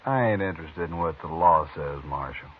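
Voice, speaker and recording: An actor playing an angry, threatening Old West townsman, husky and blunt, in 1950s radio western style. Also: a bass voice, expressive, fairly quick, exaggerated voice. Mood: angry, accusing. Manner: blunt, defiant, folksy grammar. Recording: muffled 1950s radio recording.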